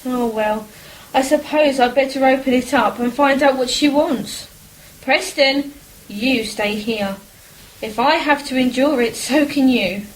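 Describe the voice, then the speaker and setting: A woman's voice: high voice, a little slow, low-quality recording. A woman playing a polite, chatty English housewife with a beloved dog in a period radio play. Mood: wry. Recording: faint background noise.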